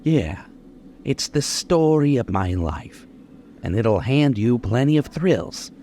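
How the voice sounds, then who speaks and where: A man's voice: mid-range voice, lively intonation, slow, warm tone, slight background noise. An actor in an old-time radio crime drama playing a cocky, bitter gunman with menacing, taunting, slangy tough talk. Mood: taunting, smug. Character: cocky bitter gunman confessing his life story.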